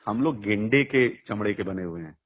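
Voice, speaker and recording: Husky, combative man on a muffled phone call. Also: fairly low pitch, animated, moderate pace. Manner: emphatic, combative, blunt, peppered with rhetorical questions. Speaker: music artist's manager being interviewed. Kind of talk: heated phone conversation.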